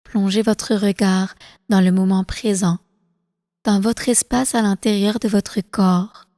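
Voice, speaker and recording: A woman leading a guided relaxation meditation as instructor, calm and soothing, with slow, deliberate phrasing. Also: medium pitch, dry recording, clean studio sound, in a large hall. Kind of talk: guided prenatal meditation, yoga nidra.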